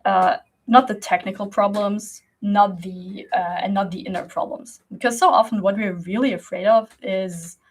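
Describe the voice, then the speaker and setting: A woman's voice: mid-range voice, steady tone, normal speed, slightly rough. A young woman from Germany whose first language is Russian, talking casually to camera as a creative coach, rambling with many fillers and restarts and laughing often.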